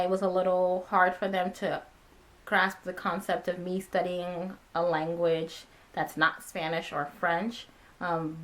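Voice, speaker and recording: Chatty young woman vlogger, lowish voice. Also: fairly bright tone. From born in Ghana, raised in America. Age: 20s. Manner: chatty, friendly, many ums and uhs. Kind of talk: casual talking-head Q&A.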